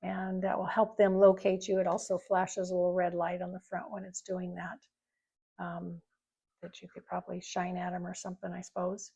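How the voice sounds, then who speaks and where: A woman's voice: lowish voice, completely flat delivery, moderate pace, gravelly, dark tone, breathy edge. A woman giving a casual, informal product review, explaining features in a rambling, conversational way.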